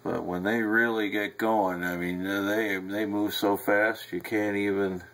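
A man's voice: lowish voice, level tone, a bit bright. A man from upstate New York talking casually and folksily while filming in his cool basement on a handheld camera. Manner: folksy, unhurried, with frequent fillers. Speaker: home videographer. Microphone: handheld camera mic.

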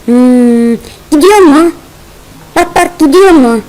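A woman's voice: high-pitched, lively intonation, slow, darkish tone, poor audio. A woman voicing a fussy, doting spider mother in a children's cartoon, chattering and chiding with lots of exclamations. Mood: chatty, boastful.

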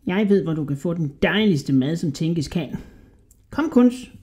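A reader voicing a sly rat posing as a small pale man, with a squeaky, piping voice and fawning, hesitant speech. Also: animated, a little mellow, lightly acted. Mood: wheedling. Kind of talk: storybook reading.

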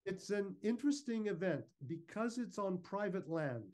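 A man giving an informal, measured, explanatory slide talk over a video call. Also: sits high, expressive, darkish tone. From Canada. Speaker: guest speaker, historical reenactor. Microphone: video call microphone.

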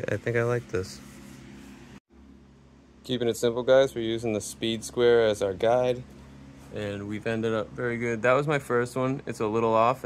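Man, lowish voice, relaxed carpenter chat, faint shop noise behind. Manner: relaxed, chatty, explains as he works. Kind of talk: casual build vlog narration. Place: workshop and home interior.

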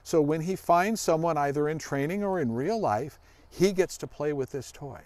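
A man's voice: a little high, moderate pace, a little mellow, dry recording. A middle-aged man giving a casual, folksy demonstration for children as a dog handler, explaining and asking questions. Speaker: search dog handler and guest presenter. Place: outdoors in a grassy forest clearing.

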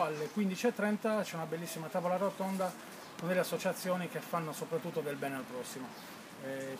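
A man's voice: a little high, background noise. Man organizer rattling off event plans. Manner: fluent, promotional, listing many activities. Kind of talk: interview answers. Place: outdoor tent at a seaside event.

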